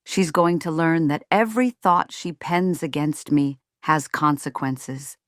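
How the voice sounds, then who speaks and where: A woman's voice: sits low, measured pace, no echo, studio quality. A woman playing a strict, self-righteous middle-aged mother in a scripted roleplay, speaking to viewers with folksy, sugary condescension. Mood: stern. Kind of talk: scripted ASMR roleplay monologue.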